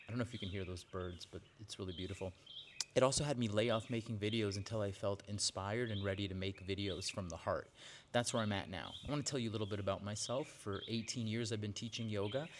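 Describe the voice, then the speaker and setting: A man's voice: fairly low pitch, slight background noise. A man in his forties, a yoga teacher, talking candidly in a casual vlog, with frequent "like"s and restarts. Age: 40s. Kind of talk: casual vlog monologue to camera. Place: outdoors with birds nearby.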